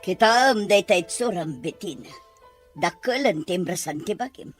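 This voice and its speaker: A woman's voice: on the low side, animated, a little slow, music in the background. A woman playing a domineering, scheming mother in a radio-drama style, stern and unyielding. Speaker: actor in an audio drama. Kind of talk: Ilocano radio-drama acting.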